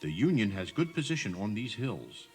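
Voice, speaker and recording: A man's voice: lowish voice, very animated, normal speed, faint music behind. A man narrating a scripted audio tour, explaining things directly to the listener.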